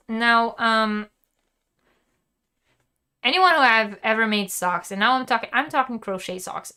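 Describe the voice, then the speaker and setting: A woman hosting a live crochet lesson, talking casually with her viewers and laughing often. Her voice is wearing thin and she stops to cough and clear her throat. She talks in loose, chatty runs with plenty of fillers. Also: medium pitch, fairly bright tone. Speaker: crochet teacher and channel host. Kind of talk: live-streamed craft lesson, answering chat.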